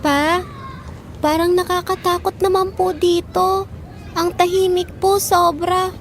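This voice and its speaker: Timid little girl, very high voice, slow delivery, childlike, uneasy, audio drama. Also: flat delivery, a little hiss or hum, exaggerated voice. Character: timid, frightened young girl, daughter of the family.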